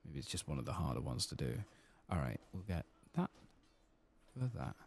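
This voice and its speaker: A man giving soft-spoken, partly whispered ASMR commentary as he plays a game, murmuring his thoughts aloud. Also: extremely low pitch, big pitch swings, moderate pace, creaky. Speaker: gaming channel host.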